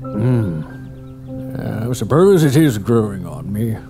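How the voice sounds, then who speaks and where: An actor playing a stubborn, grumbling older retired historian, who sighs a lot and talks slowly. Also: male voice, on the low side, big pitch swings, full character voice. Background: music.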